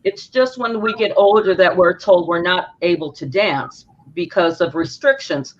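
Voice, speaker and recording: Lively woman telling dance stories. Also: on the low side, normal speed. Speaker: guest, dance instructor. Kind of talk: casual interview talk. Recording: dull lo-fi sound.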